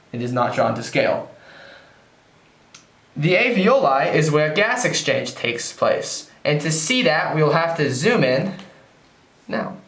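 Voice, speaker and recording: A man presenting a lab lesson in scripted instructional narration, recorded with a roomy echo. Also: on the high side. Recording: echoey, roomy sound.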